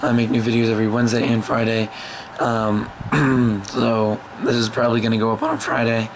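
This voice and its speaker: A young man with a hoarse, worn-out voice rambles casually to a camera held at arm's length in his small bedroom. His speech is full of ums and restarts, and a loud, constant noise sits under the recording. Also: mid-range voice, level tone, fairly quick. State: hoarse, lost voice. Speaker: vlogger. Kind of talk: casual vlog talk to camera.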